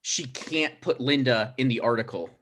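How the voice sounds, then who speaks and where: A man's voice: mid-range voice, moderate pace, fairly bright tone, natural voice. A man playing a hesitant, anxious thirty-five-year-old mayoral candidate with a mild manner in a comedy table read. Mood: anxious, sheepish.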